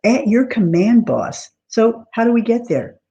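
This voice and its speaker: A woman's voice: fairly high pitch, lively intonation, measured pace, warm tone, lo-fi sound, pitched above the performer's own voice. A woman voicing a wry, mock-obedient sister with a teasing manner in a storybook reading. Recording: clear background noise.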